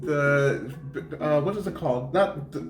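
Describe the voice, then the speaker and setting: A man's voice: fairly high pitch, unhurried, music in the background. Guy in his late 20s, jokey, kinda hesitant, echoey room sound. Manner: joking, hesitant, repeats words when stuck. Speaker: co-host, partner. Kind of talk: casual Q&A chat.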